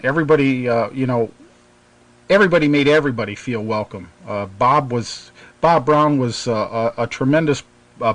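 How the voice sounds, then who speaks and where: A middle-aged American man hosting a radio show, reminiscing casually in a halting, rambling way. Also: mid-range voice, a bit bright.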